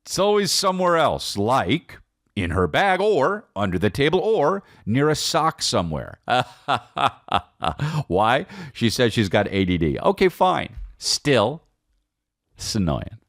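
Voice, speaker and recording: Male American podcast host, mid-pitched and highly animated, casual comedic storyteller who rambles and repeats for emphasis. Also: slow pace, no echo, clean studio sound. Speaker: podcast host telling personal stories.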